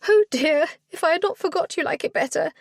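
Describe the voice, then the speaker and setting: A woman's voice: very high voice, a little fast, vocal fry, no echo, studio quality, voice pushed up. A woman reading a novel aloud, voicing a flustered, bustling widow who gushes with old-fashioned politeness. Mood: flustered gushing. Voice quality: fluttery, gushing. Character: flustered fussing older village widow.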